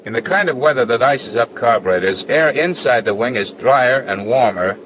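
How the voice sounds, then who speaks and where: An actor playing a patient, matter-of-fact flight instructor in a 1940s training film, measured and firm. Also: male voice, mid-range voice, expressive, lightly acted. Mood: explanatory. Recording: 1940s film soundtrack with faint noise.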